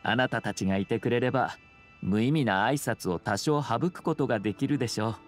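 An actor voicing a suave young noble lord in a video game, speaking formal, measured with courteous composure and subtle wryness. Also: male voice, medium pitch, faint music behind, close to an everyday voice. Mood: wry, polite.

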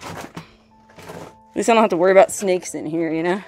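A woman's voice: lowish voice, normal speed, a little mellow, faint music behind. A woman in her forties, filming herself on a phone while exploring an old mine and a desert canyon, talking casually with awed exclamations and laughs. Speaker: solo explorer vlogger. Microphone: handheld phone at arm's length.

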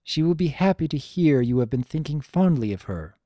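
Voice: medium pitch, animated, brisk, mellow, no room sound, studio quality, close to an everyday voice. A narrator voicing a formal, earnest female pony princess in a dramatic story reading, with archaic, stately diction. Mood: warm, wistful.

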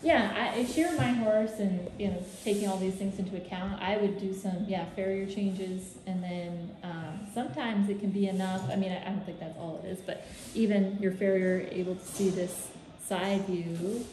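A woman vet talks in a relaxed way, hedging as she goes. The room rings with echo, and steady noise sits behind her voice. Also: medium pitch, moderate pace. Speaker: equine vet. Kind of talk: casual consultation. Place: vet clinic barn. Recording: echoey room with clear noise.

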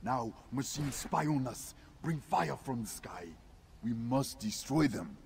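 Stern proud alien warrior. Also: male voice, mid-range voice, lively intonation, slow, gravelly, a little hiss or hum. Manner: stern, commanding, contemptuous. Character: proud hostile male alien warrior, scornful of humans. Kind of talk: video game voice acting.

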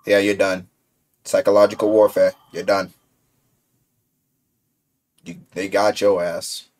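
A man's voice: sits low, flat delivery, slow, a little mellow. A man giving casual, slangy reaction commentary, informal and incredulous, recorded indoors in a bedroom. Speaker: reaction video creator.

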